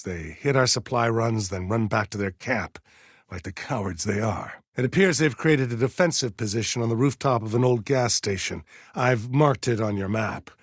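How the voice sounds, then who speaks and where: A man voicing a stern, aging militia colonel in a video game, formal and measured as he gives orders with deliberate pauses. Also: mid-range voice, slightly rough, dry recording, studio quality. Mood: brisk, authoritative. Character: stern aging militia colonel, dutiful, zealous, wary, slightly forgetful. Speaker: military commander giving orders. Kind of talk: scripted video game character dialogue.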